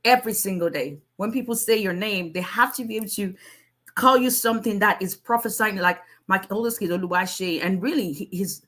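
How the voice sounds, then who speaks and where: Strong-voiced woman, bright and forceful. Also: mid-range voice, a little fast. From Nigeria. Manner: strong voice, chatty, with many restarts. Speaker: immigration attorney. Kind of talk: casual livestream Q&A.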